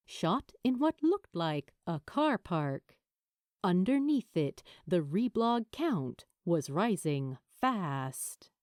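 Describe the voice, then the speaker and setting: A woman reading fiction as an audiobook narrator, with expressive, deliberate, dramatic phrasing. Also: fairly low pitch, no room sound, clean studio sound.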